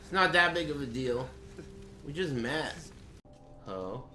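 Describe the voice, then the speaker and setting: A man's voice: medium pitch, animated, a little slow, music in the background. A man streaming a video game live, giving casual, offhand commentary with a sardonic, profane edge.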